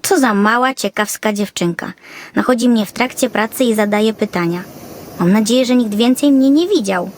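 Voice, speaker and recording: A woman's voice: on the high side, moderate pace, lightly acted. A woman playing a small, grumpy, nervous male Christmas elf who frets and grumbles in a children's audio fairy tale. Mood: indignant. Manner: fretful, grumbling, exclaiming.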